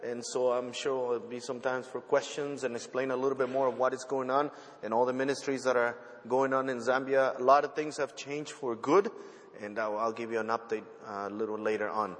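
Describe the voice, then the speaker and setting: A man whose first language is not English, preaching as a visiting missionary in a church, halting with many fillers and restarts and joking at his own expense. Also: medium pitch, flat delivery. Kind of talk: sermon. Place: church sanctuary. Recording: church hall reverb.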